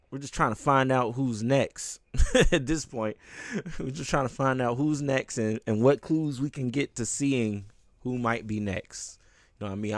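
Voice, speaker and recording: Male commentary host, mid-pitched with fry, informal, repeats himself for emphasis, dry recording. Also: no echo. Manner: swears casually. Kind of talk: casual unscripted celebrity gossip commentary.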